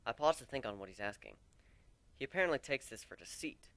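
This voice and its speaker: A man's voice: medium pitch, animated, quick pace, fairly bright tone, no echo. A man narrating a story as an amateur dramatic reader, in first person. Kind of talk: dramatic reading of fan fiction.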